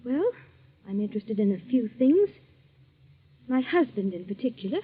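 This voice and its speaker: An actress playing an anxious, suspicious wife in a 1940s radio drama, tense and halting. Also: female voice, fairly high pitch, very animated, slightly rough. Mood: subdued, guarded. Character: anxious, suspicious wife tormented by growing fear. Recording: dull old 1940s radio recording.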